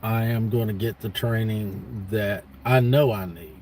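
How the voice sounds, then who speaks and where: A man's voice: on the low side, unhurried, a little mellow, slight background noise. A man in his fifties talking casually to livestream viewers in a rambling monologue, blunt and folksy, repeating points for emphasis. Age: 50s. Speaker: livestream host.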